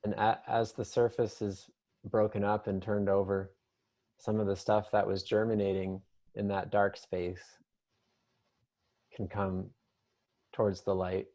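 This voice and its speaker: A man leading an improvised, conversational guided meditation in a gentle, unhurried manner with long pauses. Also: on the low side, little pitch movement.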